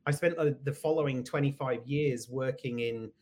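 A man's voice: medium pitch, normal speed, darkish tone, a little hiss or hum. A British man in his forties, a guest in a casual interview, speaking reflectively with self-deprecating humor and frequent ums. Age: 40s. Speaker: guest, executive coach.

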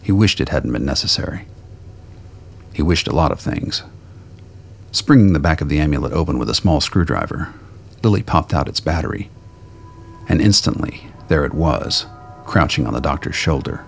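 Male narrator for audio drama: extremely low, husky voice, scripted dramatic storytelling. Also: lively intonation, moderate pace, pauses often.

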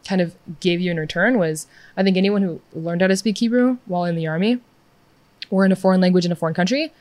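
A woman's voice: on the low side, quick pace, darkish tone, no echo. A young adult woman in casual conversation, chatty and upbeat, peppering her talk with "like" and "yeah".

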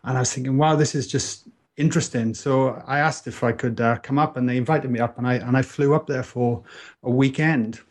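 A man's voice: medium pitch, steady tone, brisk. A Welsh man in his thirties, a podcast guest telling his story in a casual interview, earnest and reflective with frequent restarts. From Wales.

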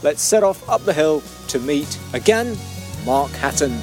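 A man's voice: on the high side, lively intonation, unhurried, over music. A man hosting a walking podcast outdoors in a mountain valley, setting the scene in descriptive terms. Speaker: podcast host.

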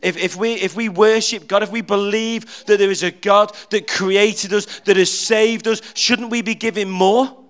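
A middle-aged preacher with a high, notably clear and smooth voice. He talks to his church in a loose, jokey way, stumbling over repeated words and drawing the congregation in with questions. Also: fairly even intonation, normal speed, hardly any pauses, a bit bright. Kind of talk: informal humorous sermon. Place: church, speaking at the front.

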